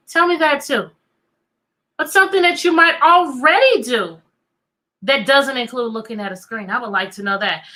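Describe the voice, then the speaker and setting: A woman teacher hosting an interactive read-aloud for children, talking in an animated way and putting questions to her young listeners. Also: high-pitched. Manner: animated, asking listeners questions and repeating them.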